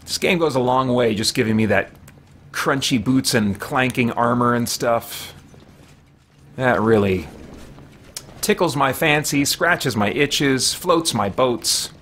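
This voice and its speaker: A man's voice: medium pitch, takes it slowly, a bit bright, slight background noise. A middle-aged man doing casual live gameplay commentary, chatting and thinking aloud as he streams from a small home office. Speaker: game streamer. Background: game music.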